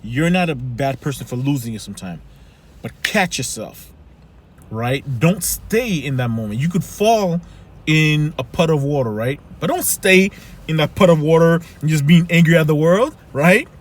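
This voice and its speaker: A man's voice: a little high, expressive, normal speed. A man with a Haitian accent giving an earnest motivational talk as a life coach, often asking "right?". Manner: earnest, repeats "right?" and "keep it moving".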